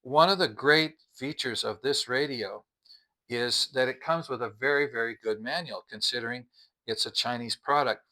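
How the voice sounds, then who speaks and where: Bright-voiced man giving a product review. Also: mid-range voice, normal speed. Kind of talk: casual explanatory product review.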